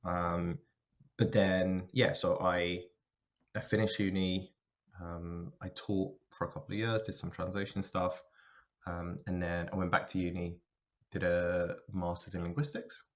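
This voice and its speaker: A man's voice: deep voice, flat delivery, a little slow. A man talking casually as a podcast guest about himself, thinking aloud as he goes. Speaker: podcast guest, software engineer and linguist. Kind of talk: casual conversational interview, telling own career story.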